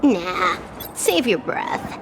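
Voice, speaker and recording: Nonchalant, unhurried young hacker. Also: female voice, lowish voice, very animated, background noise, exaggerated voice. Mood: dry, smug. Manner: nonchalant, dry, sly. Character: cool, unflappable young woman hacker, dryly teasing. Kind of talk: video game character acting.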